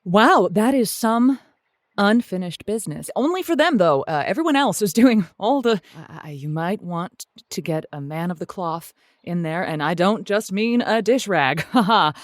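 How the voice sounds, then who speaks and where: A woman playing a dryly amused advice-show host, chatting with callers in a hesitant, deadpan way on a comedy show. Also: medium pitch, moderate pace, no room sound, clean studio sound, close to an everyday voice. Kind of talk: improvised comedy call-in chat.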